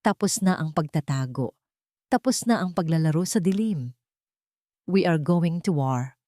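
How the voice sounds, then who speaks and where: Woman acting male billionaire boss, calm, steely, commanding, deliberate, story drama, dry close sound. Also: deep voice, no room sound. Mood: cold resolve.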